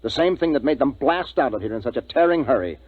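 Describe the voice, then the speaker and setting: An actor playing a grave spaceship officer in a 1950s radio drama, speaking in a dramatic, deliberate way. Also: male voice, mid-range voice, animated, breathy edge, no echo. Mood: grim, resolute. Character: grave male spaceship officer recounting an eerie alien encounter. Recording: tinny 1950s radio recording with music.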